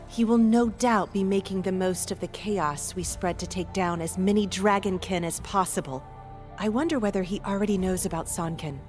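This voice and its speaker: A woman's voice: medium pitch, darkish tone, close to an everyday voice. A woman playing an earnest, resolute dragon hunter in a video game cutscene.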